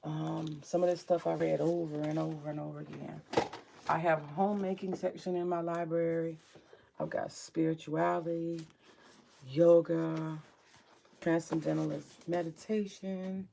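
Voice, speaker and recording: A woman with a very low, gravelly morning voice and a dark, mellow tone talks through her book collection at home. She rambles and swears casually, muttering to herself as she looks through her shelves, over a faint hiss of background noise. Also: level tone, a little slow. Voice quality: deep morning voice. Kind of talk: casual home vlog chat.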